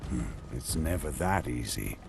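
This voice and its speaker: Stern old-guard knight, very deep, lively intonation, terse, commanding, fantasy game. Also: male voice, unhurried, vocal fry, noisy background, exaggerated voice. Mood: confident, dry. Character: stern, brooding male veteran knight commander. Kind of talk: video game voice acting. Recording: long reverb, music behind.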